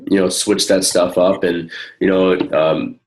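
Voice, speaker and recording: Low-voiced man coaching informally. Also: flat delivery, rough recording. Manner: informal, encouraging, halting. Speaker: network marketing coach. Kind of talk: one-on-one coaching call.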